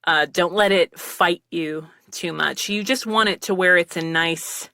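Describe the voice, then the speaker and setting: A woman's voice: unhurried, creaky, bright, forceful tone, no echo. A woman guest on a podcast, chatting casually and rambling a little as she gives recording tips into a close mic. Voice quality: self-described low voice. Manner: rambling, chatty, with frequent fillers and laughs. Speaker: podcast guest talking voice acting. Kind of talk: casual commentary chat, giving recording advice. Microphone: close studio mic with headphones.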